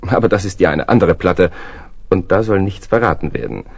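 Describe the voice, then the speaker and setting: A man playing a playful, chatty storyteller, narrating a children's audio play in a husky, confiding way. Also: sits low, big pitch swings, a little fast, darkish tone, heavily acted. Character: playful, chatty male storyteller introducing animal detectives.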